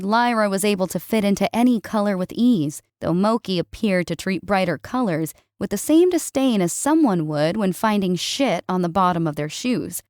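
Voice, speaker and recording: A woman's voice: medium pitch, darkish tone, dry recording. A woman reading scripted fiction as an audiobook narrator. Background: background music.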